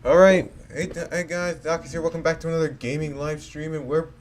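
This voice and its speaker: A man's voice: fairly high pitch, steady tone, a little fast. A man hosting a gaming live stream, chatting in a loose, offhand way and correcting himself as he goes. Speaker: game streamer. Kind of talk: casual live stream chat.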